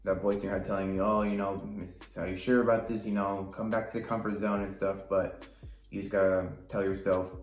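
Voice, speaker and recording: A young man with a very deep voice speaks casually and haltingly, often stopping to find the right word. The room is echoey, with faint music in the background. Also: flat delivery. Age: young adult. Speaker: partner of the vlogger. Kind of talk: casual chat.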